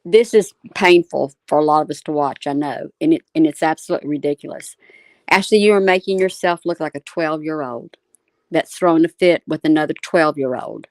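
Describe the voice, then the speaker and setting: A woman's voice: deep voice, brisk, no echo. A woman delivering a firm reproach in a stern, critical manner.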